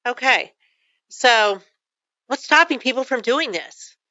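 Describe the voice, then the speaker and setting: A woman's voice: on the high side, expressive, slow pace, sharp tone, no room sound, lo-fi sound. A woman hosting a radio interview with a Texan accent, who affirms her guest and asks leading questions. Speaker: radio host. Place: radio studio.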